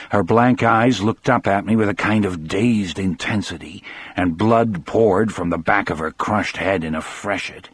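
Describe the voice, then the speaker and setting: A man acting grief-stricken old former prison guard, husky, dry close sound, measured somber audiobook storytelling. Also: deep voice, dry recording. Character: grief-stricken elderly former prison guard recounting his wife's death. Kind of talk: dramatic first-person audiobook narration.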